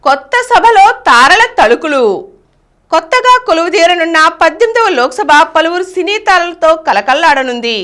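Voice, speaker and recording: A woman's voice: extremely high pitch, very animated, moderate pace, fairly bright tone. A woman reading scripted news items and headlines as a news reader.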